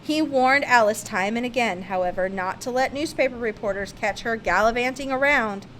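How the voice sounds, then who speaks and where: Woman, higher-pitched voice, emphatic children's book narration, slightly noisy recording. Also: normal speed, fairly bright tone. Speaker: storybook reader. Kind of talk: expressive read-aloud of a children's picture-book biography.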